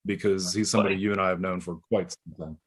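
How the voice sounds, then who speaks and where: A man's voice: low-pitched, flat delivery, fast talker, breathy edge. A man hosting a casual video call, chatty as he introduces and questions guests. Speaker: host.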